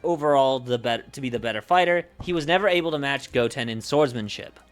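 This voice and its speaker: A man's voice: mid-range voice, fast. A man livestreaming as a game master, reading out story summaries and chatting, often repeating and correcting his words. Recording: music playing behind the voice. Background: background music.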